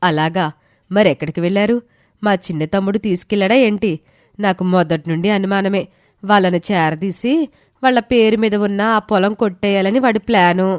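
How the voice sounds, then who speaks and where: A woman voicing a suspicious, sharp-tongued married woman in an animated moral story. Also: medium pitch, normal speed, no echo, clean studio sound, close to an everyday voice. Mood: suspicious, grudging.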